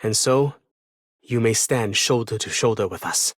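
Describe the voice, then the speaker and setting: A man's voice: on the low side, unhurried, dry recording, clean studio sound. A man playing a formal, dignified official in scripted video game voice lines. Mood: formal, assured. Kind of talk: scripted game character voice line.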